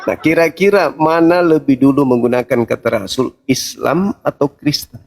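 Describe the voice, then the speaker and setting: A man with an average-pitched voice takes part in an informal religious debate. He presses with pointed questions, and his manner is confrontational and condescending. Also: fairly even intonation, normal speed, creaky, darkish tone.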